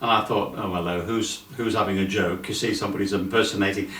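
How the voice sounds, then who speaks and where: An older Englishman with a lowish voice, recorded at some distance in an echoey room. He tells an anecdote casually and conversationally. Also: fairly even intonation, quick pace, fairly bright tone. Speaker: theatre member telling an anecdote.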